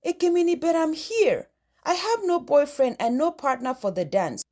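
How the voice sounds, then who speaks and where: A woman's voice: high-pitched, lively intonation, moderate pace, warm tone. A woman voicing a kind, earnest, lovelorn teenage schoolgirl in a storybook tale, speaking tenderly. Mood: shyly hopeful.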